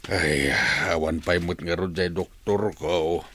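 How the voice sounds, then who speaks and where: A husky, deep-voiced lonely old father. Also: steady tone, slow, fairly bright tone, no room sound, heavily acted. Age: older. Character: lonely widowed old father, gentle, longing. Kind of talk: radio drama acting.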